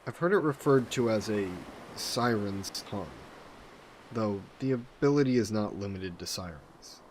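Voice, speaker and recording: A man playing a possessive sea monster in a roleplay audio drama, speaking in measured, formal phrases that are coolly threatening and flirty. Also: fairly low pitch, darkish tone, slight background noise, lightly acted. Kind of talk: scripted roleplay audio drama, intimate monologue.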